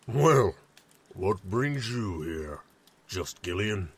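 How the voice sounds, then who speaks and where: A man playing a calm, courteous reptilian dragonborn warrior in a fantasy audio drama, with a rough voice and formal, measured speech. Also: lowish voice, dry recording, exaggerated voice.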